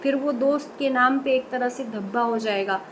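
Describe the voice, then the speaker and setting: A woman's voice: a little high, moderate pace, background noise. A woman vlogger reacting to a call recording, talking straight to her viewers in an earnest, opinionated way, often breaking off and correcting herself. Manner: many fillers and self-corrections. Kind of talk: direct-to-camera commentary.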